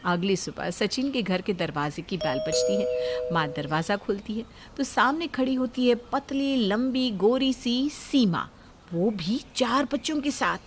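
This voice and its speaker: A woman's voice: medium pitch, animated, measured pace, background noise. A woman narrating a scripted story in a dramatic storytelling style.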